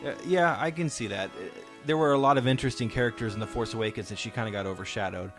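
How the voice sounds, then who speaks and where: A man's voice: medium pitch, fast talker, a bit bright, soft background music. A man hosting a casual fan discussion, explaining his points emphatically and posing questions that he answers himself. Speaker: podcast host.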